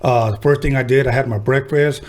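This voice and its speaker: A man's voice: medium pitch, fairly even intonation, a little fast, darkish tone. A man in his fifties hosting a casual interview podcast, from the Los Angeles harbor area, telling laid-back, joking stories. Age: 50s. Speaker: podcast host.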